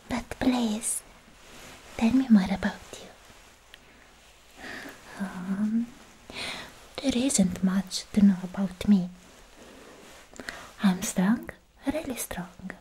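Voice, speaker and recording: A young woman playing a lovestruck, bashful anime swordswoman in a coy, hesitant, breathy whisper for an ASMR roleplay, close to the microphone. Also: mid-range voice, mellow, slight background noise, rough recording. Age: young adult.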